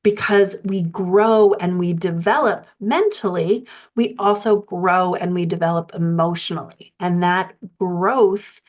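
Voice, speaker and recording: A woman with a somewhat low voice teaches like a writing coach, laying out her points in a measured and methodical order. The sound is slightly muffled and lo-fi, as in a modest recording. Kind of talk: instructional explanation.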